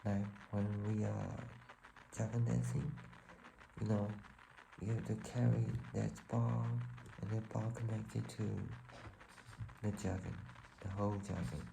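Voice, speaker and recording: A man from Taiwan with a Taiwanese accent tells a personal story casually and haltingly, often searching for words. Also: on the low side, little pitch movement, vocal fry, noisy background. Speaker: vlogger telling own life story.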